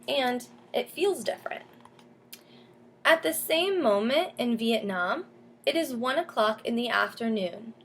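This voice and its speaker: A woman teacher reading a picture book aloud to children, pausing to explain and ask questions. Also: fairly high pitch, slight background noise. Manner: explains and asks questions as if to young listeners. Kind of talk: storybook read-aloud with comments to children.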